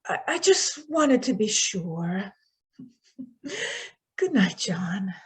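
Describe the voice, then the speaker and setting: A woman playing a judge's anxious, gentle wife, hesitant and concerned, in old-time radio drama style. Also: medium pitch, sing-song. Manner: hesitant, drawn-out. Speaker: actor in a radio play.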